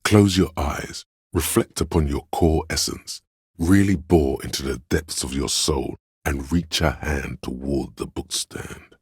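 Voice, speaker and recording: The pretentious artistic voice inside a detective's head for a video game. It is an extremely low, gravelly bass that moves slowly and deliberately. Every phrase is delivered as theatrical narration, with the gravity of a discerning critic. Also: male voice, a little mellow, no echo, clean studio sound, exaggerated voice. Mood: evocative, grand. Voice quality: very deep gravelly husky bass. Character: artistic inner voice of a detective's mind, lofty and critical.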